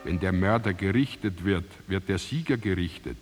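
A man as a lowish sober narrator. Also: moderate pace, soft background music, lightly acted. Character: sober German narrator of an ancient Roman tale. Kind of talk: scripted radio drama narration.

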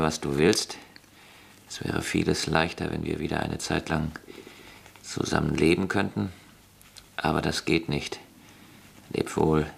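An actor playing an embittered, hot-tempered young soldier on leave in a radio play, speaking gruffly and broodingly. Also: male voice, a bass voice, exaggerated voice. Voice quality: gravelly.

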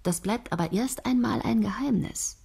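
An actress playing a confident head writer who steers her team in a brisk, patronizing and persuasive manner. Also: fairly high pitch, gravelly, warm tone, breathy edge, full character voice. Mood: bossy. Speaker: head of a soap writing team. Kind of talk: radio play dialogue.

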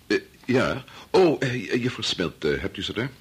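A man's voice: lowish voice, sing-song, measured pace, slightly rough, exaggerated voice. An actor playing a middle-aged, preoccupied and domineering doctor and father in a Dutch radio drama, muttering or curt. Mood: absorbed, muttering. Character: preoccupied, domineering male doctor-scientist, father of a grown son.

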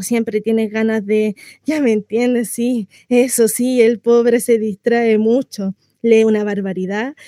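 Woman acting a chatty, naive housewife, mellow and close. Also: medium pitch, dry recording, lightly acted. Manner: gossipy, rambling, confiding, coyly hesitant. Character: chatty lonely housewife, naive, insistently cheerful about her confinement. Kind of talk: theatrical monologue read for radio.

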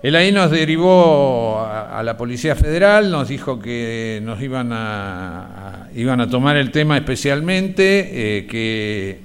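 Clear-voiced Argentine man, halting testimony. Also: mid-range voice, animated. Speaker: interviewee giving testimony. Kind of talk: recounting memories in an interview.

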